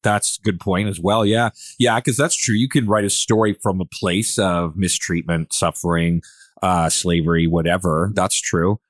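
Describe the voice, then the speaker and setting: A man's voice: low voice, steady tone, normal speed, no echo, clean studio sound. A man hosting a podcast in a casual, rambling way, repeating words as he works toward questions. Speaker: podcast host. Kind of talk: casual conversational interviewing.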